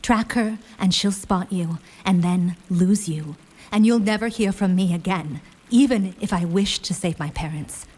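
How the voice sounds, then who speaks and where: A woman playing a guarded young war hero in a fantasy audio drama, careful, courteous and formal, with a husky voice. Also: lowish voice, measured pace, darkish tone. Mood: guarded pleading.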